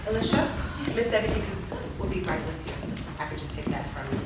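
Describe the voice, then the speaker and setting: A woman's voice: fairly low pitch, expressive, brisk, close to an everyday voice. A woman playing a cheeky, gossipy receptionist on stage, with a teasing, sassy manner. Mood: polite, businesslike. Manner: sassy, teasing, addresses the crowd. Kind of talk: stage play monologue to the audience. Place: large echoey indoor stage venue. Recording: echoey hall from far off, noisy.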